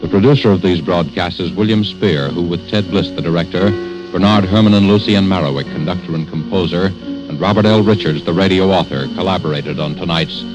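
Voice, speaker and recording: A man's voice: deep voice, a little fast. A man as narrator-host, speaking formally and solemnly in scripted 1940s radio style. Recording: muffled 1940s radio recording. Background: music.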